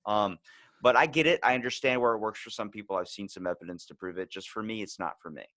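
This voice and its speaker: A man's voice: fairly low pitch, quick pace, bright, forceful tone, dry recording. A man chatting casually and telling anecdotes as a podcast host, in blunt, profane, slangy language.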